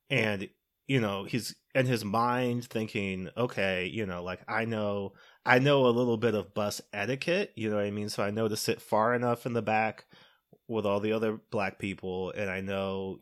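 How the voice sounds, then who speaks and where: A man's voice: on the low side, moderate pace, fairly bright tone. Chatty man hosting a film podcast. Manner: conversational, explains at length with many fillers. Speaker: podcast host. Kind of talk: casual film discussion.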